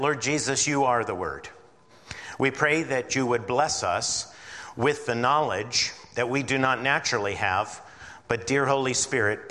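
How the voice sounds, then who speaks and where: A male preacher teaches from the Bible in a big, forceful voice. His delivery is earnest and deliberate, with phrase endings stretched out, and long hall reverb trails behind his mid-pitched speech. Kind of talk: evening Bible-teaching sermon. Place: large reverberant room with a congregation.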